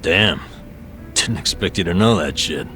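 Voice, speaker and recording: An actor playing a worried, guilt-ridden, gruff down-and-out man in a video game dub, grumbling dryly to a friend. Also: low-pitched, lively intonation, measured pace, music in the background. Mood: stressed, guilty.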